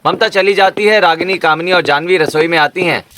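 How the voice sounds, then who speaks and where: A man's voice: high voice, brisk, pushed and bright, slight background noise, rough recording. A man reading scripted narration for a story, as the narrator.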